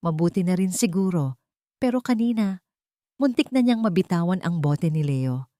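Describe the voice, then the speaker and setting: A woman's voice: lowish voice, animated, moderate pace, dark tone, dry recording, studio quality, natural voice. A woman voicing a suspicious, falsely concerned wealthy woman in an audio storybook drama, speaking in hints.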